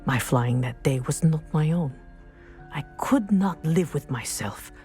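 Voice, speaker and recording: An actress playing a dignified, principled female professor in a game, speaking in a measured, precise, formal way. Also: sits low, animated, dark tone, faint music behind. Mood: solemn regret. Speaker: professor and flying teacher. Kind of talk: scripted game dialogue, storytelling.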